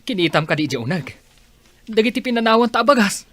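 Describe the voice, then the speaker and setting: A man's voice: high voice, very animated, quick pace, dry recording, exaggerated voice. A man playing a questioning, grumbling farm-owner husband with an Ilocano accent in a comedy radio drama. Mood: disappointed. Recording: loud car hum behind voice.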